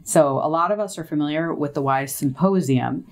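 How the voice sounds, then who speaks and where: Low-pitched female interview host. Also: normal speed. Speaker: interview host, business news executive. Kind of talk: conversational business interview hosting.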